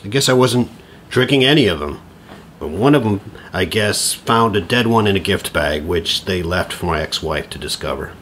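An actor playing an eager, rambling, over-familiar middle-aged divorced dad in an audio drama. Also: male voice, deep voice, moderate pace, slight background noise. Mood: wry, rambling. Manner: stammering, over-familiar, excitable.

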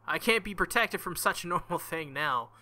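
A man's voice: sits high, animated, moderate pace, sharp tone. A young man reading a visual novel aloud as a let's play host, with joking, crude asides between passages.

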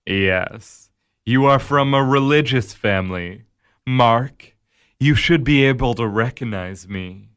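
A slow, mocking Devil. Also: male voice, mid-range voice, fairly bright tone, clean tone. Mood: sly. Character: the Devil, smooth, amused male tempter. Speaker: narrator and tempter. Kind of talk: radio drama acting.

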